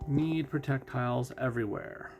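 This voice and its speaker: A man giving casual game commentary as host of a playthrough, thinking out loud and putting questions to himself. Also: mid-range voice, moderate pace. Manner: thinks out loud, asking and answering own questions. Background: music.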